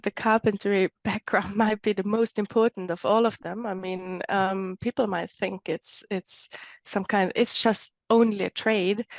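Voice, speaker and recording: A woman's voice: medium pitch, creaky, no room sound, muffled recording. A woman from Switzerland, a timber engineer talking as an interview guest, thoughtful and restarting small phrases as she goes. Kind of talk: interview conversation.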